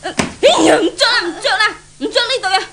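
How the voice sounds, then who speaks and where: Shrill, spoiled young rich mistress. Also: female voice, lively intonation, fast talker, fairly bright tone, heavily acted. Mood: impatient, irritated. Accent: Hong Kong Cantonese. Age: young adult. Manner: haughty, scolding, petulant. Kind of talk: 1970s Cantonese radio drama acting.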